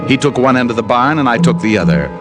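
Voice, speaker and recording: An actor playing a wry, hardboiled American private detective, narrating and wisecracking in an old radio drama. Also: male voice, mid-range voice, animated, fast talker, loud music behind the voice, close to an everyday voice. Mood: tense storytelling. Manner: dry, wisecracking.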